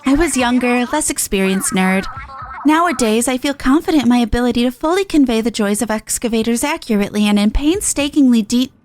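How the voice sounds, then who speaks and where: A woman's voice: on the high side, lively intonation, brisk, a little mellow, a little hiss or hum, pitched below the performer's own voice, close to an everyday voice. A woman voicing an earnest, wordy, nerdy young female inventor in a video game. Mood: earnest, proud.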